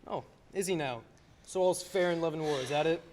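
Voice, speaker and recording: A man's voice: on the high side, a little fast, a little mellow, a little hiss or hum, close to an everyday voice. An actor playing a restless, good-hearted young man in a radio play, folksy, hesitant and wry. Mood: wry, evasive.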